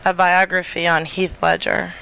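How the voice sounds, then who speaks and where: A man with a high-pitched, bright and gravelly voice chats casually as a co-host. There is some noise behind him as he talks. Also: monotone, moderate pace, poor audio. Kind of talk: casual chat. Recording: clear background noise.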